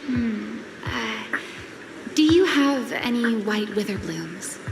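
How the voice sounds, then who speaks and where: Slow, wistful woman traveler. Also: a little high, big pitch swings, noisy background, heavily acted. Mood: musing, resolved. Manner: languid, musing, sighing. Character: wistful, unhurried woman traveler, calmly stubborn. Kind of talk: video game voice acting. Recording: roomy sound with clear music behind.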